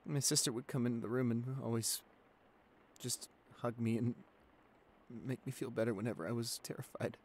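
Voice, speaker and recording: A man's voice: mid-range voice, steady tone, moderate pace, a little hiss or hum, close to an everyday voice. Shy, stammering young boyfriend, acted by a man. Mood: calming down. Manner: hesitant, stammering, soft-spoken. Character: shy, anxious young boyfriend frightened of thunderstorms. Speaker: audio roleplay performer. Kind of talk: boyfriend ASMR roleplay acting.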